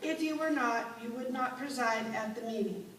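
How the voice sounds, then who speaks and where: Actress as impassioned woman orator, higher-pitched, measured declamation, formal stage recitation, roomy sound. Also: fairly bright tone, lightly acted. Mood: stirring, hopeful. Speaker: performer in a dramatization. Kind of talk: dramatic recited oration before an audience. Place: indoor room, recorded from a distance. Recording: roomy, distant mic, background noise.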